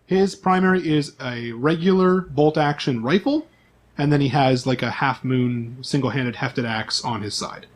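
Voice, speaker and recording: A man's voice: medium pitch, normal speed. A man acting as game master, reading out character profiles in an informal, list-like way with asides.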